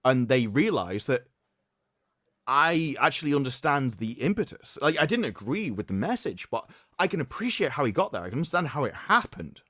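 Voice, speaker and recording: A man's voice: medium pitch, big pitch swings, moderate pace, fairly bright tone, no room sound, clean studio sound. A man hosting a stream, giving a sarcastic, emphatic dramatic reading with mocking commentary. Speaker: streamer host.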